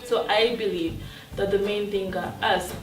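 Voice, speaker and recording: A woman's voice: medium pitch, steady tone, unhurried. Young woman, echoey and distant, music behind. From Mali. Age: young adult. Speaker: youth conference participant. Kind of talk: earnest personal testimony.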